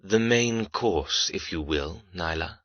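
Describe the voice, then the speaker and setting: A man playing a menacing, cruelly amused captor in an audiobook, with a deep, dark murmur and slow, commanding delivery. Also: lively intonation, no room sound, exaggerated voice. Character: menacing, cruelly amused man who toys with his captive. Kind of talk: audiobook character dialogue. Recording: slightly muffled, dry studio sound.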